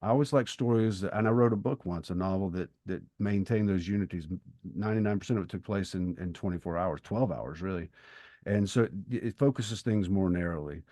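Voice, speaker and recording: A middle-aged man co-hosting a podcast, talking reflectively about literature in a thoughtful, halting way, rephrasing as he goes. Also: low voice, mellow, no room sound, clean studio sound. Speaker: podcast co-host and writer.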